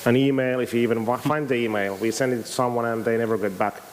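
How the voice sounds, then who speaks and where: A man's voice: medium pitch, fairly even intonation, quick pace. A man, a game company head interviewing a guest on a panel, asking long questions full of fillers. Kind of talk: panel conversation. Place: event stage. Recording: hissy hall with long reverb.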